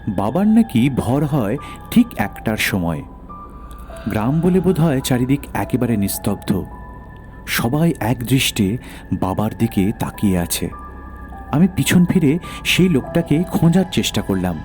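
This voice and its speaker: A man's voice: mid-range voice, sing-song, unhurried, pauses often, a little mellow, soft background music. A man narrating a first-person horror audio story in a dramatic storytelling style.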